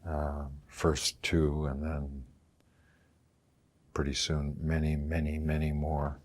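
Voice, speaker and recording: A man talks in a calm, matter-of-fact way. His voice is extremely deep and flat, with a husky texture. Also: takes it slowly. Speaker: actor. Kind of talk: interview recollection.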